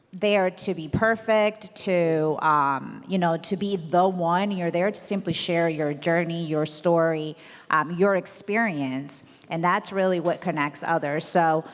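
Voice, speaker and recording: A woman on a panel giving earnest, encouraging advice about branding. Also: fairly low pitch. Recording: echoey hall.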